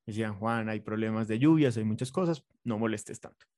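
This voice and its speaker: A man's voice: on the low side, a little fast, darkish tone, dry recording, studio quality. A man with a Colombian accent, a designer being interviewed, talking in an earnest, reflective way with fillers and restarts. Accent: Colombian Spanish. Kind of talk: conversational interview.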